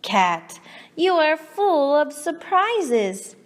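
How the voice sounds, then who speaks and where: A woman reads a picture book to children very slowly and deliberately, with long reverb around her voice. She coaxes and reacts with exaggerated feeling, as if talking straight to the character. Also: high voice. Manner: animated, coaxing, exaggerated reactions. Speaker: children's storybook reader.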